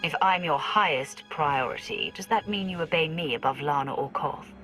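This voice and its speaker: A woman playing a cool, commanding dark warrior in a video game, formal and controlled. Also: sits low, normal speed. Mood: probing. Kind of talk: scripted game dialogue. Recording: music behind the voice.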